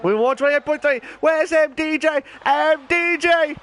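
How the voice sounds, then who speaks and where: A man hosting and commentating an online racing league, his voice hoarse and nearly gone, talking in an excitable, chatty way. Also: very high-pitched, measured pace, soft background music. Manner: excitable, shouting during qualifying, then calm chatty standings rundown. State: hoarse, lost voice. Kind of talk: live esports race commentary.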